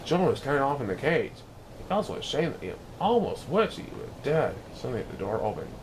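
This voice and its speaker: Animated man reading a storybook over noise. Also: medium pitch, a little fast. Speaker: storybook reader, show host. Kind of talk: animated read-aloud of a children's picture book.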